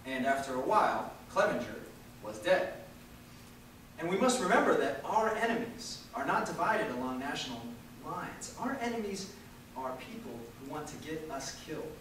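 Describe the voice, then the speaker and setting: A man reading a political speech aloud at a public event in an emphatic, oratorical way, with dramatic pauses. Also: mid-range voice, expressive, fairly bright tone. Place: large echoey room at a live university event. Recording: echoey hall from far off, faint noise.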